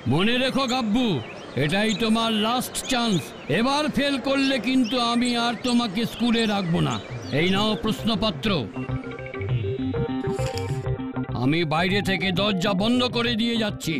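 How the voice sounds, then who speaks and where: A stern cartoon school headmaster with a very high voice for a man. He lectures and admonishes, yet stays fair. Children's music plays behind him. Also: fairly quick. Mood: stern warning.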